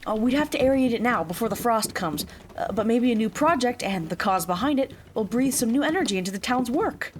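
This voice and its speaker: A woman's voice: on the high side, animated, fairly quick, a little hiss or hum, natural voice. An actress playing an earnest, dutiful and impatient younger village woman in an audio drama, with slightly formal phrasing. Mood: earnest, resolute.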